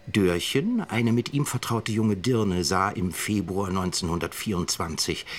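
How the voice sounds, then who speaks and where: An actor in a radio drama playing a sardonic writer, narrating in a deliberate, literary manner. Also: male voice, low voice. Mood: grave, chronicling.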